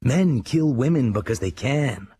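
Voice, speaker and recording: An actor playing a brooding American crime writer, narrating his life in terse, intimate radio drama monologue with a husky, gravelly voice. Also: medium pitch, expressive, a little slow, warm tone. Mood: sombre, resolved. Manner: terse, hard-edged, intimate. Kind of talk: dramatised first-person scripted narration.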